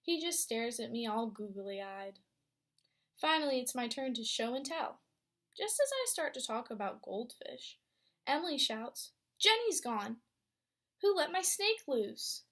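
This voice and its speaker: Young woman doing a storybook read-aloud for kids, fairly high voice, lots of expression. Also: unhurried. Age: young adult. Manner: expressive storytelling with teacher questions. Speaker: student teacher reading aloud. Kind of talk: picture book read-aloud to a class.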